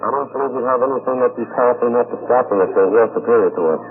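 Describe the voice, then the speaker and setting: A man's voice: mid-range voice, racing. A man giving terse, noncommittal answers in an informal 1950s radio interview. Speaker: interviewed convention attendee. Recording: tinny 1958 radio field recording, some noise.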